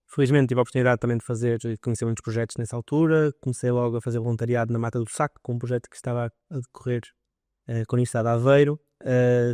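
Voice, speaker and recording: A man's voice: medium pitch, a little mellow, smooth, no echo, clean studio sound. A young man from Portugal, telling his story as a biologist guest on a podcast, eager and full of detail. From northern Portugal. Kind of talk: podcast interview.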